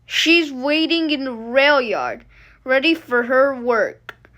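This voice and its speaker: A woman reads a picture book aloud in a high voice. Her pace is slow, with short, deliberate phrases set apart by pauses. The delivery is expressive and emphatic, as storybook reading. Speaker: storybook reader.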